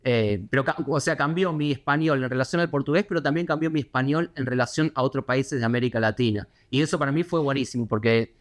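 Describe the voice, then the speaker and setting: A man with a Buenos Aires accent, a correspondent answering interview questions in a reflective, halting way with many fillers and restarts. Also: medium pitch. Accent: Buenos Aires Spanish. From Argentina.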